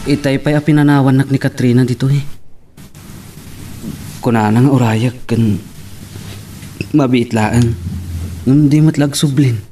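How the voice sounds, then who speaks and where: A man's voice: mid-range voice, fairly even intonation, unhurried, pauses often, mellow, soft background music, lightly acted. A man playing an easygoing young boyfriend in an audio drama, with an Ilocano accent. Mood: casual, waiting. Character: easygoing young boyfriend waiting for his girl.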